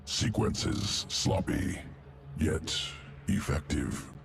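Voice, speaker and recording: An actor playing an inhuman insectoid evolution master in a video game, clinical and detached, speaking in clipped, guttural phrases. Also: male voice, low voice, background noise, full character voice. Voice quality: gravelly, guttural. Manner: clipped telegraphic phrases without articles, deliberate. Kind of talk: video game character dialogue.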